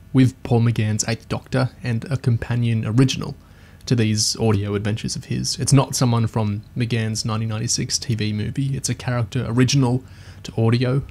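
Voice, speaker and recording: A man's voice: mid-range voice, a little mellow. A man hosting a podcast, talking through a review discussion with enthusiasm.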